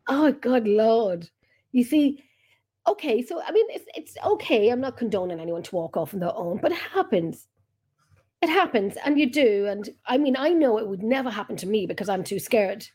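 A woman's voice: a little high, lively intonation, moderate pace, mellow, breathy edge. A woman with an Irish accent hosting a casual chat over a video call, telling long rambling stories and interrupting herself often. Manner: chatty, rambling, self-interrupting storyteller. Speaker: podcast host and paranormal investigator.

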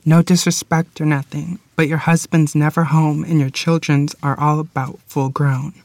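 A woman's voice: deep voice, little pitch movement, normal speed, darkish tone, dry recording. A woman playing a forward, plainspoken small-town club musician in a period table read. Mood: blunt.

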